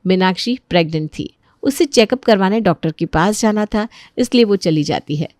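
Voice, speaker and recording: A woman's voice: mid-range voice, lively intonation, normal speed, darkish tone, dry recording, clean studio sound. A woman narrating a scripted family story as its storyteller.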